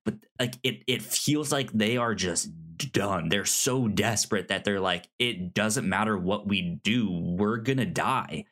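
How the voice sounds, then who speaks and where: A man co-hosting a film podcast, reflecting casually in a halting, stammering way that repeats words. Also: on the low side, fairly bright tone. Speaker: podcast co-host.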